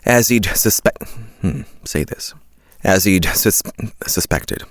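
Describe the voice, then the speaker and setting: A man's voice: low-pitched, takes it slowly, dry recording. A man reading scripted audiobook narration and repeating lines in retakes.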